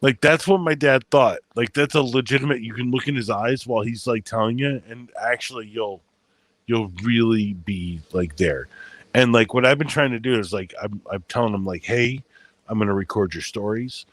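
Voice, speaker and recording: A man's voice: mid-range voice, moderate pace, no echo. A man hosting a casual chat with friends, rambling through stories with lots of fillers and swearing, in a soothing voice. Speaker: channel host.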